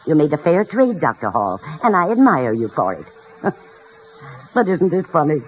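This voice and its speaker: A woman's voice: low voice, big pitch swings, moderate pace, slightly rough, full character voice. An actress playing a feisty, plain-spoken old grandmother with wry wit in a vintage radio comedy. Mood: touched, surprised. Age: older. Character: feisty, wry old grandmother, candid mother of a snobbish son. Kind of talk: 1940s-50s radio comedy acting. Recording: muffled, hissy vintage radio recording.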